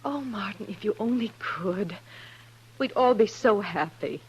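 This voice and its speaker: A woman's voice: mid-range voice, lively intonation, measured pace, slightly rough. Sheltered young woman: mid-range voice, earnest and fretful, vintage radio western. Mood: eager, affectionate. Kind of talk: 1950s radio drama acting. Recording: humming, noisy 1950s radio recording.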